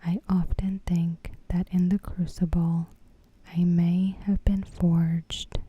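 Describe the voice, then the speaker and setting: A woman narrating an ASMR recap in a soft, breathy whisper, gentle and measured. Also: on the low side, level tone.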